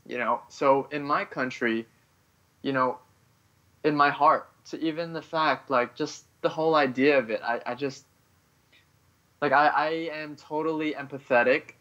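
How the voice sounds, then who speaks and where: A man from Vietnam speaks as a podcast guest, pausing often and filling the gaps with "you know" as he works through his thoughts. His voice sits at an ordinary pitch for a man. The audio is dull and lo-fi. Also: fairly bright tone. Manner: halting, heavy on "you know" fillers. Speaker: guest writer. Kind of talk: podcast interview.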